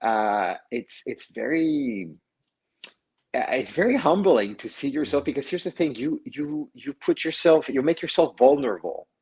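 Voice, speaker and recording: A man from Mexico speaks as an actor guest in a relaxed interview. His voice has a husky edge. He tells stories with energy and acts out the voices of the people he quotes. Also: mid-range voice, moderate pace. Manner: animated storyteller who acts out quoted voices, laughs often. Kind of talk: casual interview storytelling.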